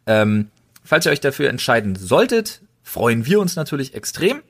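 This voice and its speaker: A man's voice: medium pitch, big pitch swings, moderate pace, husky. A man co-hosting a podcast, chatting casually in slangy, colloquial language. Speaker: podcast co-host.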